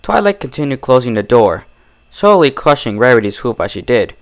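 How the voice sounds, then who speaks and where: A man narrates a story from a script. His voice sits at a middling pitch and moves expressively, in a dramatic storytelling style. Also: sing-song, a little fast. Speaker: fan fiction narrator.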